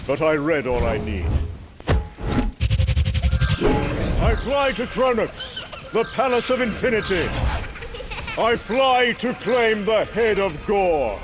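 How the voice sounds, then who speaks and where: A man's voice: sits high, big pitch swings, unhurried. A man playing a weary, defiant old warrior god in a comic book audio drama, with a dramatic, declamatory delivery. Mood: bold resolve. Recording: music under the voice.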